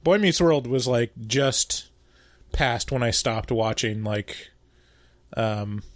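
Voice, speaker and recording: A man's voice: medium pitch, slow, no echo. A man of forty co-hosting a casual podcast chat, laid-back and joking, laughing often. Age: 40s. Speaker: podcast co-host.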